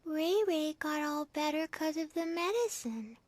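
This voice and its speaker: A woman's voice: sits high, animated, measured pace, dry recording. A woman voicing a naive, bubbly little girl with babyish phrasing in a fantasy game. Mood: excited, naive. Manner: babyish phrasing, tagging sentences with a catchphrase. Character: childlike little girl, bubbly, naive, third-person tic. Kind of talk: anime-style fantasy game dialogue.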